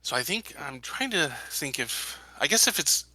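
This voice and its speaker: A man's voice: a little high, sing-song, moderate pace, pushed and bright, husky, dry recording. A man playing a tabletop roleplaying game, hesitantly thinking aloud as he narrates and voices his character.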